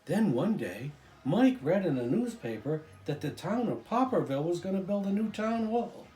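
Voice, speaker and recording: An older man reading a children's storybook aloud, drawing out key phrases with deliberate emphasis. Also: sits high, very animated, warm tone, reverberant, faint music behind, rough recording. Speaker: storybook reader, grandfather.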